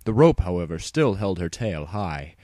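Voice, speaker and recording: A man's voice: low-pitched, very animated, normal speed, mellow, no echo, studio quality. A man reading a scripted story aloud as a dramatic narrator. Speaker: fan fiction narrator. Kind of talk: dramatic scripted narration, spoofing an audiobook reader.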